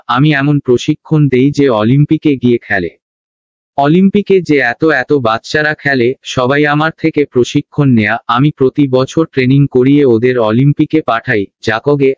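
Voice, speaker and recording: A man's voice: medium pitch, moderate pace, dry recording, close to an everyday voice. Casting: man as blustering cartoon animal sports coach, instructing and full of himself, muffled recording. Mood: bossy instructing. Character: boastful, bumbling male animal sports coach, secretly timid. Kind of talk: children's cartoon dialogue.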